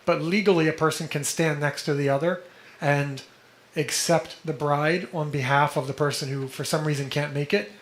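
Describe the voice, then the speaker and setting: A man teaching a study group, explaining texts and often checking in with listeners, a little hoarse and clearing his throat. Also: fairly high pitch, fairly even intonation, moderate pace. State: slightly hoarse, coughing. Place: indoor room with a vent. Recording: echoey room with background noise.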